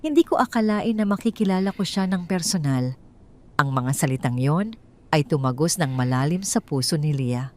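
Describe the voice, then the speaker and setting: A woman with a Filipino accent narrating a scripted dramatic story in measured, sentimental phrasing. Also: deep voice, expressive, a little mellow.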